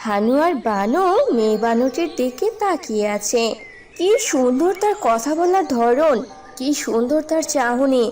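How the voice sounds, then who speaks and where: A woman's voice: high-pitched, lively intonation, a little slow, a little mellow. A woman narrating a scripted cartoon story. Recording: distant voice with clear background noise.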